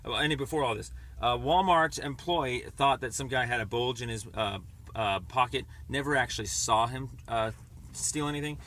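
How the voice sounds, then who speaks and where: A man calling to make a complaint, explaining at length in a rambling, halting, stammering way over the phone. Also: medium pitch, pushed and bright. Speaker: caller making a complaint. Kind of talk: phone conversation. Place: on a phone call.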